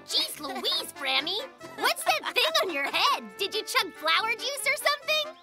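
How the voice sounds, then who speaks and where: An excitable little boy bear cub from a children's cartoon. The voice is extremely high and takes its time, with a playful, showy delivery and jokey exclamations. Loud music plays behind it. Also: measured pace, exaggerated voice. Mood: teasing, amused.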